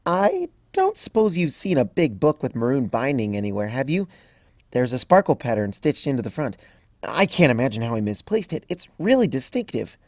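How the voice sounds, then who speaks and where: A man voicing a frazzled, bookish young female pony scholar who fusses and quickly flies into a panic. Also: wildly swooping pitch, a little mellow, no echo, studio quality. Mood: fussy. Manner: high-strung, rushes and fusses, flies into panic.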